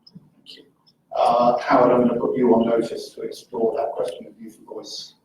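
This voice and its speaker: A man moderating a live panel discussion in a conference room, polite and a little halting as he calls on speakers. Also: mid-range voice, completely flat delivery, darkish tone. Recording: echoey hall, distant mic, faint noise.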